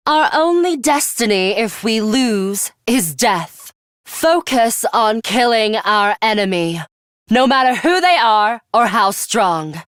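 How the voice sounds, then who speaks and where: A woman playing a stern, ruthless young female fighter in a video game, speaking in a terse, measured and commanding manner. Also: on the high side, dry recording, clean studio sound. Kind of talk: scripted video game voice acting.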